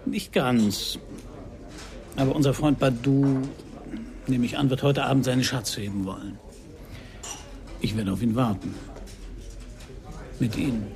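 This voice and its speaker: An actor playing a wry, self-assured Parisian private detective in a radio crime drama, speaking dryly in terse, laconic sentences. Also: male voice, medium pitch, steady tone, unhurried, creaky, long pauses, darkish tone. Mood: matter-of-fact. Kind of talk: first-person radio crime drama narration and dialogue.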